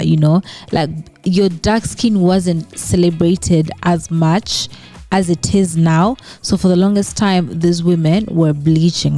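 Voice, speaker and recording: A woman's voice: lowish voice, mellow. A woman from Western Uganda, hosting her own channel in a chatty style full of "right?" and "you know". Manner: conversational, frequent tag questions and fillers. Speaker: YouTuber host. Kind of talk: casual commentary vlog.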